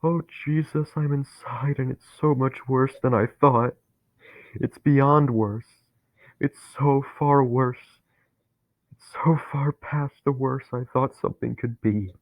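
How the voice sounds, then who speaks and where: A man voicing a dying young man who is terrified and bitter, talking in a strained, pleading way that rises to anguished shouts. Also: fairly low pitch, steady tone, takes it slowly, vocal fry, long pauses, dry recording, full character voice. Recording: tinny phone-like, dry sound.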